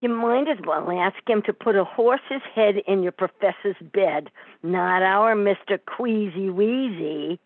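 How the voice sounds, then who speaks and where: A woman's voice: medium pitch, unhurried, dry recording, lightly acted. A woman playing a nagging, sarcastic mob wife and mother in an amateur old-time radio comedy, heard through a thin sound. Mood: scornful. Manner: sarcastic, needling mobster-wife slang. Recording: thin phone-like sound, dry.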